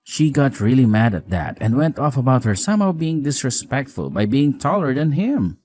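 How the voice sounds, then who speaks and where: A man playing a weary, apologetic newlywed husband in a scripted audio drama, earnest, expressive, caring and frank. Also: mid-range voice, normal speed, lightly acted. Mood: exasperated.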